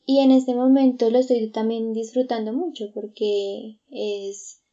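A woman's voice: a little high, steady tone, a little slow, warm tone. Reflective young woman, dull audio. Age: 20s. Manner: reflective, many fillers and restarts. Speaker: guest. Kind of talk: casual conversation.

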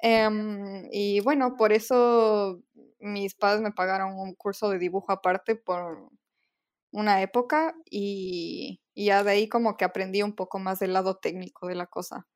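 A woman's voice: medium pitch, steady tone, a little slow. Giggly young woman co-host. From Ecuador. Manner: giggly, chatty, frequent fillers. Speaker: podcast co-host. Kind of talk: casual chat.

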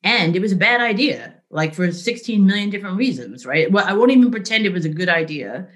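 Animated woman telling work stories. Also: medium pitch, fairly quick. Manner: animated, frequent "right?" and "like", laughs easily. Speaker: interview guest, business leader. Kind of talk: candid conversational storytelling.

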